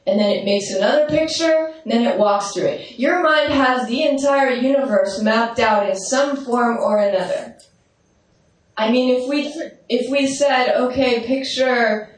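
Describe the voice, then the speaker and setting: A woman with a strong, carrying voice leads a meditation teaching, walking listeners through visualizations and checking in with them as she goes. She speaks without a microphone, so her voice reaches the recorder from a distance. A long, booming echo from the large hall surrounds every word. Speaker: spiritual teacher. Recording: roomy hall echo, voice off-mic.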